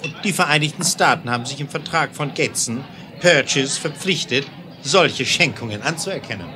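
An actor playing a sober, unflappable railroad aide in a 1970s German radio play, speaking in a precise, factual way. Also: male voice, a little high, lively intonation, moderate pace, background noise, close to an everyday voice. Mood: explanatory.

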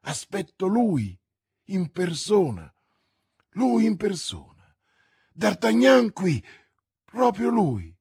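A man's voice: high-pitched, expressive, moderate pace, darkish tone, breathy edge, no echo, studio quality, voice pushed up, full character voice. A man voicing an anxious, lovestruck young woman, proud and trusting, as a character in an audiobook. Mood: elated, proud. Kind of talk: audiobook character voice, classic novel.

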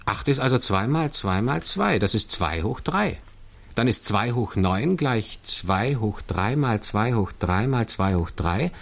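Self-taught male programmer, deferential and didactic, animated lowish voice, 1960s German radio drama. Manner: didactic, step-by-step.

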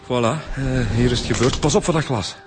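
A man's voice: mid-range voice, fairly quick, background noise, close to an everyday voice. A man playing a chatty, easygoing police detective in a radio drama, informal, with a Flemish accent. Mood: matter-of-fact, informal. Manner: informal, colloquial. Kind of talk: naturalistic radio drama acting.

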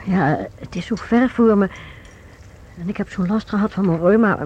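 A woman's voice: mid-range voice, normal speed, a little mellow, slightly rough, lightly acted. An actress playing an ageing, nervous mother, evasive and stammering, cowed by her son in a Dutch radio play. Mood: evasive, uneasy. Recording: dull lo-fi old radio recording.

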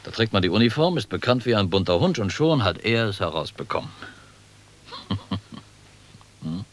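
A low-pitched, wry military officer in a radio drama. He talks down to others with sly, condescending humour while keeping his own position guarded and correct. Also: sing-song, normal speed, a little hiss or hum. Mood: amused, probing. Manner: sardonic, condescending, chuckling at the young. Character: wry, guarded army major, patronizing yet obedient volunteer leader. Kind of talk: German radio drama acting.

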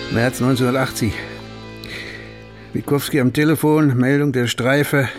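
An actor playing a gruff, bossy older police chief in a German radio crime drama, brusque and rambling. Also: male voice, mid-range voice, lightly acted. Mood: fond, reminiscing. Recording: music playing behind the voice.

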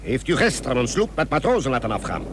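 A man's voice: medium pitch, sing-song, fast, lightly acted. A man playing a stern, curt state official in a historical radio drama, clipped and authoritative. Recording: noisy radio recording with outdoor ambience.

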